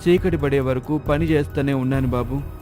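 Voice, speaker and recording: Man playing a mellow, humble villager. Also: medium pitch, fairly even intonation, normal speed, soft background music, close to an everyday voice. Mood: pleading. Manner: deferential, earnest. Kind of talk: moral story cartoon voice acting.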